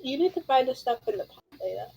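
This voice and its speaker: A woman's voice: high voice, expressive, quick pace, vocal fry, warm tone, breathy edge, low-quality recording. A young woman co-streaming a game in casual, playful chat. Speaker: co-streamer playing along.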